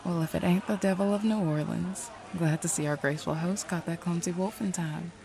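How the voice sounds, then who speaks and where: A low female voice thick with vocal fry, a woman acting a self-assured detective seer. She is flirtatious, sly and playful, teasing her way through an audio drama. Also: fairly even intonation, brisk, very noisy. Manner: playful, sly, drawling endearments.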